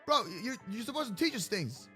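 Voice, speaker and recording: A man improvising game roleplay commentary, animated and slangy, reacting aloud as he goes. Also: high-pitched, fairly quick, faint music behind. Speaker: gaming video host.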